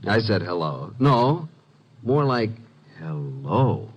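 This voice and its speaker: An actor playing a wry, flirtatious detective's assistant who narrates breezily in 1950s radio drama style. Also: male voice, on the low side, sing-song, slow, highs cut off, exaggerated voice. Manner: wry, breezy, smooth-talking.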